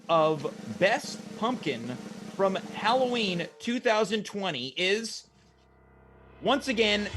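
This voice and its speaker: Male host, high pitch, mock-formal announcer delivery for a comedy awards parody. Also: a bit bright.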